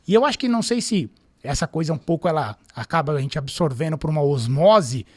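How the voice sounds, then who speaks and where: Calm paulistano police captain, higher voice. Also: male voice, husky. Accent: Paulistano Brazilian Portuguese. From São Paulo, Brazil. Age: 30s. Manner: calm, measured, storytelling. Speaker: military police captain, guest. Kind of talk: interview answers.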